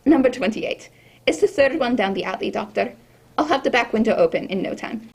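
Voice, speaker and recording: A woman plays a dutiful housekeeper in a radio drama. The voice is a bit high yet raspy, with plenty of creak, and the manner is obliging and respectful. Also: animated, brisk, darkish tone, heavily acted. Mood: helpful. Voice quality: husky, creaky. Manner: deferential, obliging.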